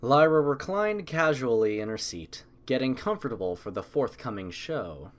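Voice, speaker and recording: A man's voice: mid-range voice, sing-song, moderate pace. Man narrating a story.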